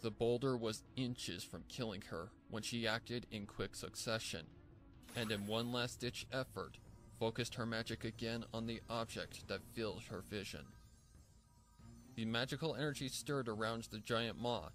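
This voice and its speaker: Male narrator for dramatic fan fiction, lowish pitch, measured and theatrical, music underneath. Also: fairly bright tone. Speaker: story narrator. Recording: music bed behind the voice. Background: background music.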